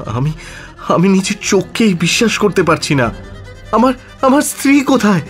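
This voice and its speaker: A man's voice: sits high, very animated, moderate pace, soft background music. A man playing an agitated, emotional British government secretary in a radio drama, stammering and repeating himself with English exclamations. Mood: astonished, overjoyed. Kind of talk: Bengali radio drama acting.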